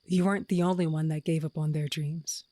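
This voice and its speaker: A woman's voice: sits low, fairly even intonation, a little fast, dark tone, natural voice. A woman playing an earnest, frustrated wife and mother in an audio drama, pleading and heartfelt. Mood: tender, encouraging.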